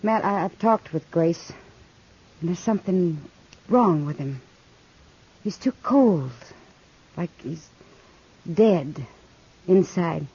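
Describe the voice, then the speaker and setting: A woman's voice: lowish voice, lively intonation, takes it slowly, long pauses, mellow, breathy edge, heavily acted. An American woman playing a worldly, caring saloon woman, earnest and confiding, in 1950s radio western style. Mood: troubled. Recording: dull, muffled 1950s radio recording.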